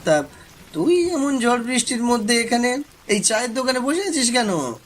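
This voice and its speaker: Neighbourly married villager, acted by a man, cartoon style, high voice, folksy, faint noise behind. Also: big pitch swings, moderate pace. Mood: concerned. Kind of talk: cartoon voice acting.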